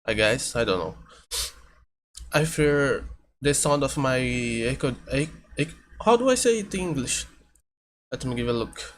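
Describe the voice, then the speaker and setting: A man hosts a casual vtuber stream at home. He speaks slowly at a middle pitch, often stopping to restart or correct his words as he thinks aloud. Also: expressive, slight background noise. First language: Portuguese. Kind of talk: casual reaction stream chat. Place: home room with air conditioner hum.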